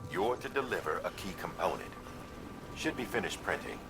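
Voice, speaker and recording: A man acting a steady, earnest mission handler who gives measured briefings in a video game. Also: low-pitched, over music. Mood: approving, instructive. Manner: measured, explanatory.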